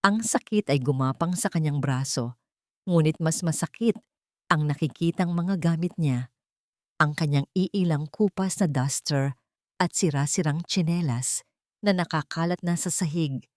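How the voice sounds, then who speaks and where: A woman's voice: low-pitched, a little slow, darkish tone, no echo, clean studio sound. A woman narrating a scripted audio drama story in a dramatic storytelling style.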